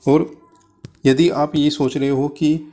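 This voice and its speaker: A man's voice: fairly high pitch, little pitch movement, slow pace, warm tone, soft background music. A man presents as an exam coach, explaining informally and step by step to students, mixing in English terms.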